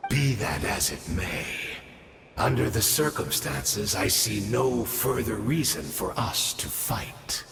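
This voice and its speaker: A weary old immortal swordsman from a fantasy game, taunts with slow, theatrical politeness. His intonation rises and falls widely, sardonic and worn. The voice rings in a long reverb over faint music. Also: fairly low pitch, big pitch swings, gravelly, a bit bright, a little hiss or hum, full character voice. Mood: proud, resigned. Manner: deliberate, theatrical, mockingly polite. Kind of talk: dramatic video game voice acting.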